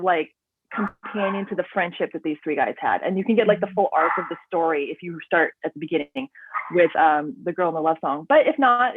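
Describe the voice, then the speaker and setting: A woman author talking about her books in a relaxed, rambling way, full of "um" and "like", over a remote call. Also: fairly low pitch, background noise. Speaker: author guest. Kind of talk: casual interview chat. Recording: tinny dry phone line.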